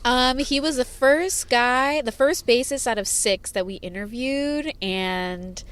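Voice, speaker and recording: A woman's voice: high-pitched, slow pace, fairly bright tone, smooth. A woman who grew up with sign language as her first language, chatting as a band's singer-songwriter in a parked car, rambling with many fillers and laughs. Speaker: band singer-songwriter, guest. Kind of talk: casual interview chat.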